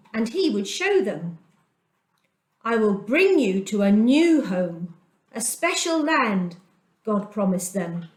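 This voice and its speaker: A middle-aged woman telling a children's Bible story aloud, gentle and expressive, with dramatic pauses. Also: on the high side. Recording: echoey room.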